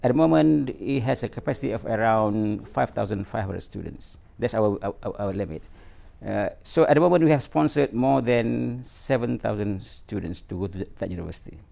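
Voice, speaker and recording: Gravelly, low-voiced man, halting. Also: warm tone. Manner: halting, with repeated words and fillers. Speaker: company executive. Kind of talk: interview answers.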